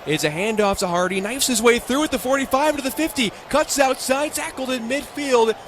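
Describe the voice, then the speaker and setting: Young american guy doing football play by play, high pitched, excitable n punchy, bit of stadium noise. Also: brisk. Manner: excitable, punchy clipped phrasing. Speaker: radio play-by-play announcer. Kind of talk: live football play-by-play commentary. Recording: live stadium ambience noise.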